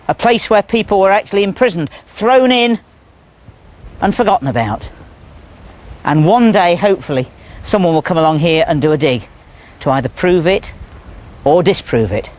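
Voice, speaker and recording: Higher-pitched man giving a ghostly guided tour. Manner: measured storytelling in short phrases. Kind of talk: documentary guide talk to camera. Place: outdoors among castle ruins.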